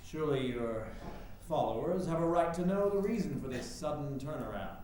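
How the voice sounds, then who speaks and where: A man's voice: a little high, lively intonation, moderate pace, darkish tone, lightly acted. A man playing a persistent, flustered nineteenth-century newspaper reporter in a staged reading, hesitant yet pressing and politely needling. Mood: pressing, skeptical. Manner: hesitant yet pressing, declamatory when quoting. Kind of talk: staged reading, theatrical period dialogue. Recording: very echoey room, faint background noise.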